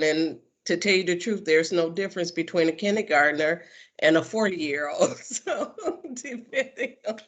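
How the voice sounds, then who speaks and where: A woman training coordinator introducing herself on a video call, speaking slowly and deliberately. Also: fairly low pitch, a bit bright. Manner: slow and deliberate, occasional laughs.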